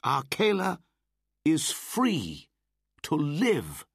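A man voicing a fierce young boy raised by wolves, speaking loud and high. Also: wildly swooping pitch, extremely slow, vocal fry, husky, no echo, clean studio sound, full character voice. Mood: defiant. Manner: loud, high, forceful declaring.

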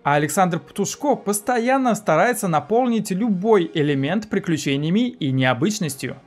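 A man's voice: sits high, animated, normal speed, soft background music. A man in his thirties presenting a scripted video essay as a film review host, recorded indoors in a small room.

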